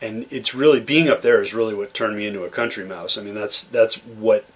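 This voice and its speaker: A man's voice: on the low side, fairly quick. A young man from Massachusetts, a podcast guest, telling stories about his childhood in a loose, rambling way with lots of fillers and restarts. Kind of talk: relaxed conversational storytelling.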